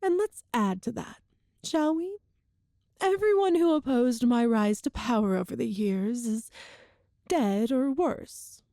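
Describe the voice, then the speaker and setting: A woman playing a cruel, seductive empress in a dramatic ASMR roleplay, with haughty, theatrical, deliberate phrasing. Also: on the high side, expressive, mellow, no room sound, clean studio sound. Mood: taunting, coaxing. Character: cruel imperious empress, seductive, menacing tyrant.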